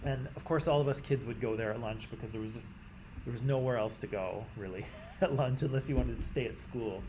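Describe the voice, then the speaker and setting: A Canadian man teaching a class in a conversational way, often checking in with "right?" and clearing his throat. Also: medium pitch, a little fast, background noise. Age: adult. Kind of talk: classroom lecture.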